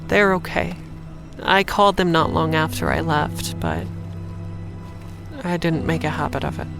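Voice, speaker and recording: A woman's voice: low voice, unhurried, vocal fry, music in the background. An actress playing a guarded, independent young woman drifter, speaking dryly in a noir audio drama. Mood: reflective, resolute. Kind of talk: audio drama acting with noir first-person narration.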